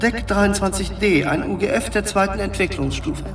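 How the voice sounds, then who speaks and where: A fussy, eager space-station guide with a fairly high voice. He is precise and technical, heard a little far off in a roomy sci-fi radio play. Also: moderate pace, a bit bright, soft background music. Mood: proud technical. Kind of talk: German science fiction radio drama acting.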